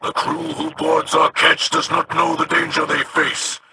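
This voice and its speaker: An actor playing a stern, solemn old alien warrior captain who speaks formally and gives commands in a video game. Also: male voice, a little high, little pitch movement, measured pace, noisy background, close to an everyday voice. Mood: commanding. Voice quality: gravelly, formal.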